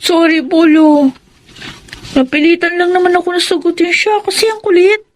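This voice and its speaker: A woman playing a meek, apologetic high-school girl with a childlike, coaxing manner, in radio drama style. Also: steady tone, moderate pace. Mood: sheepish, sweet. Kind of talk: Tagalog radio drama acting.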